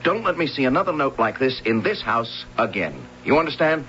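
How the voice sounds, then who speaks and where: An actor playing a stern, stubborn husband in an old radio drama, gruff and scolding in manner. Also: male voice, mid-range voice, animated, fairly quick, fairly bright tone, natural voice. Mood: angry, scolding. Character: stern, irritable, stubborn husband fed up with a boy. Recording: dull, noisy old radio recording.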